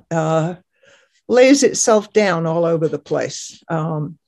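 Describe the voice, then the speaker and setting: A woman's voice: lowish voice, darkish tone. An older woman chatting hesitantly as a gardener narrating a photo slideshow, speaking indoors over a video call. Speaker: gardener giving a garden tour. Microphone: computer microphone on video call.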